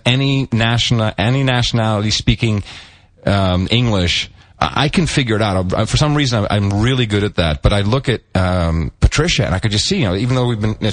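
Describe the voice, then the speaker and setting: Middle-aged male co-host, low voice, chatty and rambling, restarts a lot, animated asides. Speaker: podcast co-host. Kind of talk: casual chat.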